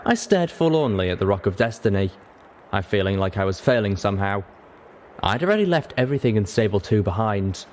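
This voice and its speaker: A man's voice: lowish voice, expressive, brisk, a little mellow, slight background noise. A man reading scripted fiction aloud as an audiobook narrator.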